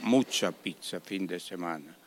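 A man with an Italian accent, a restaurant owner speaking Spanish in a radio interview, halting and slipping into Italian words. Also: deep voice, slight background noise. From Italy.

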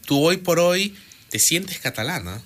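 A man's voice: on the high side, expressive, measured pace, fairly bright tone. A man hosting a radio interview, asking questions and reflecting at length. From Latin America. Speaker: radio host.

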